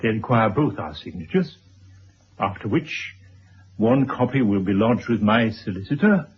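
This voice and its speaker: An actor as a coolly formal older gentleman. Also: on the low side, lively intonation, natural voice. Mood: formal, controlled. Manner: formal, measured, dry. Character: calm, calculating older gentleman author, coolly insistent. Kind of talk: radio drama acting. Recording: dull, hissy old radio recording.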